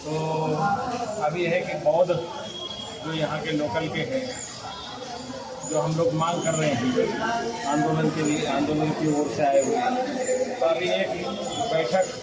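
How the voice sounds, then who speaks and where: A man's voice: a little high, slow, long pauses, a loud crowd. A man giving a spoken account to a news reporter, explaining at length and repeating himself. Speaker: monk and protest movement representative. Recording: noisy, echoey, distant field recording.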